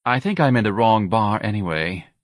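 A man's voice: lowish voice, animated, normal speed, dry recording, clean studio sound. A man voicing a haughty, snappish young man in a bar. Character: snippy, elegant young man.

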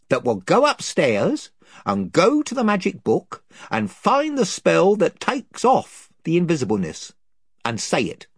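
A man's voice: high voice, creaky, husky, no echo, studio quality, pitched above the performer's own voice, full character voice. A man reading an audiobook, voicing a long-winded, folksy male chief of invisible creatures. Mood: menacing politeness. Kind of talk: audiobook character voice.

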